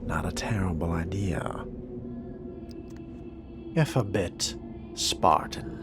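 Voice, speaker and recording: Man playing a slow, low-voiced haughty vampire. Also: loud music behind the voice. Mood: dry musing. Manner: haughty, measured, formal wording. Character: refined, jaded male fledgling vampire, haughty and extravagant. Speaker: roleplaying game player. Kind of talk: in-character game roleplay monologue.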